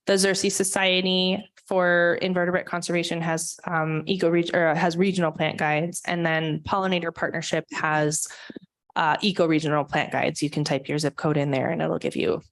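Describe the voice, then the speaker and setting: Woman presenter, low-set voice, dry close sound, earnest talk with ums. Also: no echo. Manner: earnest, frequent um fillers. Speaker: conservation presenter. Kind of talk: public talk at a church forum. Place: church meeting room.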